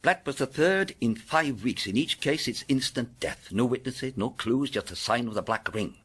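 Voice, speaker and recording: An actor with a British accent playing an excitable, commanding senior police inspector in a period detective drama. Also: male voice, mid-range voice, moderate pace, slightly rough. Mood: grave.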